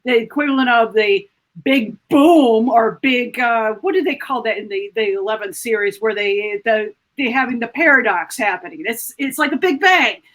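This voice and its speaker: Lady on a fan podcast panel, fairly high voice, chatting away, keen to recommend stuff. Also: moderate pace, fairly bright tone, rough recording. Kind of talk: casual chat, enthusiastic recommendation.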